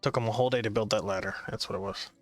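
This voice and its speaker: A man's voice: on the low side, steady tone, racing, no room sound. A man giving casual gameplay commentary as a streamer, rambling and grumbling as he talks. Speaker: gaming streamer. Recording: game music behind.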